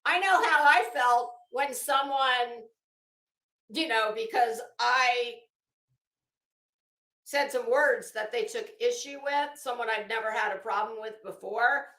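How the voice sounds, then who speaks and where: A woman's voice: fairly high pitch, slow, pushed and bright, reverberant, rough recording. A woman giving casual, opinionated commentary in a rambling, emphatic and blunt way, swearing freely. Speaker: online commentary host.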